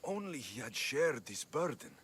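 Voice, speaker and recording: Game hero, Greek mercenary: medium pitch, bright edge, terse, earnest, guarded. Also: male voice, big pitch swings, normal speed, slight background noise. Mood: grieving. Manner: plain-spoken, terse. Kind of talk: dramatic video game cutscene acting.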